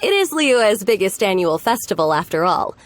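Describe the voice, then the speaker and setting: A woman's voice: mid-range voice, very animated, normal speed, a little hiss or hum, close to an everyday voice. An actress playing a cheerful, helpful young townswoman in a video game, explaining things in a friendly way. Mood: bright, informative. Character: cheerful, helpful young festival townswoman tracking lantern construction. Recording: faint music behind voice.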